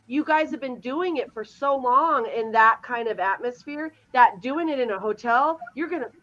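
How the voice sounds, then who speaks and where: A woman's voice: sits high, moderate pace, a bit bright, highs cut off. A woman in her fifties, co-hosting a podcast in a casual, enthusiastic chat over a video call. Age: 50s. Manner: enthusiastic, chatty. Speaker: podcast co-host. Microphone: remote video call.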